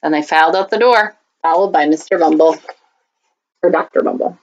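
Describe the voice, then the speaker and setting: A woman's voice: low voice, fairly quick, a little mellow, highs cut off. A woman teacher reading a children's storybook aloud expressively, drawing out key words for emphasis.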